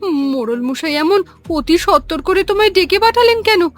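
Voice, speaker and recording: A woman voicing a timid, worried village wife in an animated melodrama, hesitant and pleading. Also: extremely high pitch, normal speed, soft background music. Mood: frightened, worried.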